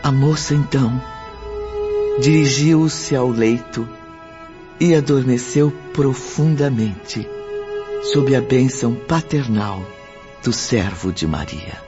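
A man's voice: mid-range voice, slow pace, long pauses. A man narrating a radio drama from a script. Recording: dull lo-fi audio under music.

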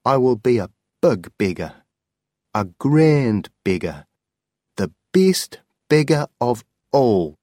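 A man's voice: medium pitch, big pitch swings, slow, warm tone, no room sound, clean studio sound, voice pushed up. A man doing a stunned, disbelieving man. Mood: defiant. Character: grown man, stunned and bitter.

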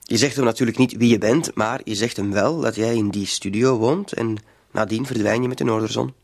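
A shady hustler in a radio drama. His mid-pitched voice has a gravelly creak, and he talks in a coaxing, insinuating way, hesitating now and then, with quiet menace underneath. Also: fairly quick. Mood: coaxing, scheming. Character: sly shady small-time crook, coaxing, quietly threatening. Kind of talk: Dutch-language radio play acting.

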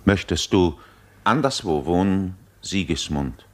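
Grave, very deep-voiced physician. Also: male voice, animated, exaggerated voice. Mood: solemn, moved. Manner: measured, solemn declamation. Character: grave, authoritative male physician, compassionate and solemn. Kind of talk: theatrical German radio play acting.

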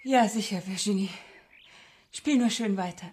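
An actress in a radio crime drama playing a grieving, guilt-ridden mother, speaking in halting, broken phrases that sink into whispers. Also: medium pitch, a little mellow, close to an everyday voice. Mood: strained, soothing.

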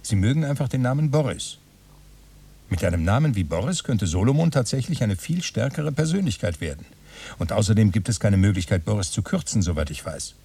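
A man's voice: fairly low pitch, animated, fairly quick, dark tone, breathy edge, recorded from a distance. An actor in a radio play playing a pensive male author who composes his novel aloud in clipped, pronouncing phrases. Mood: deliberating.

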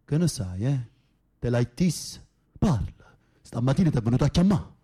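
A young Naples mobster behind bars in a radio play. His voice is dark, at a middling pitch, with a Neapolitan accent and a coarse, gruff, confrontational temper. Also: sing-song, moderate pace. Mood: accusing, angry. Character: coarse, volatile young Neapolitan Camorra foot soldier in prison. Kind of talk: radio drama acting.

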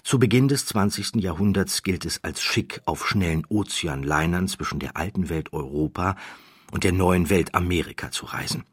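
A man narrating an audiobook from a literary script, with measured, dramatic phrasing. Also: deep voice, husky, no room sound.

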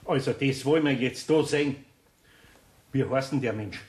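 A man's voice: medium pitch, moderate pace, a little mellow, roomy sound, natural voice. An actor playing a good-natured older master craftsman in a radio play, homely and reasoning, with a Bavarian accent. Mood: indignant.

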